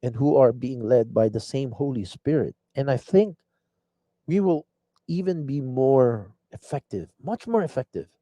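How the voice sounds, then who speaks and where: Guy hosting a live show, very dark mellow voice, dry close sound, earnest and kinda rambling. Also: mid-range voice, animated, a little slow, no echo. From Philippines. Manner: earnest, rambling with frequent restarts. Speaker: host of a live online show. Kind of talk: political commentary monologue.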